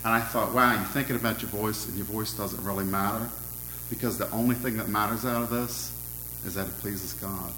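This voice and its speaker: Loud-voiced preacher in an echoey hall. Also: male voice, fairly low pitch, steady tone, fairly quick, a little hiss or hum. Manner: friendly, asks the congregation questions. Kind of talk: interactive sermon. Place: church hall.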